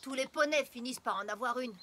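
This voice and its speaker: A woman's voice: fairly high pitch, fast, a little hiss or hum, close to an everyday voice. A woman voicing a kindly, proud young farm pony in a French cartoon dub, reassuring and upbeat like a big sister. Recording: loud music behind the voice.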